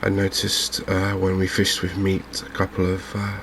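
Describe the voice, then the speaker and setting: Softly spoken English male game host, flat and hushed delivery, gravelly fry, faint background noise. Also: deep voice. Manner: gentle, unhurried, hushed. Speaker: gaming video host. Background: fishing game ambience.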